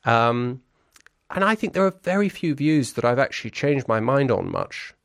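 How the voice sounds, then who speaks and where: A man's voice: medium pitch, animated, normal speed, no echo, studio quality. A man in his forties who grew up in Brussels, speaking as an interview guest. He talks in a reflective, articulate and wry way, full of restarts and fillers.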